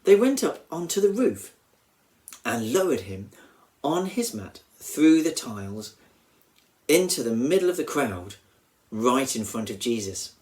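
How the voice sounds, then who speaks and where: A man, a church minister, reading scripture aloud in a solemn, measured and expressive way with deliberate pauses. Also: mid-range voice.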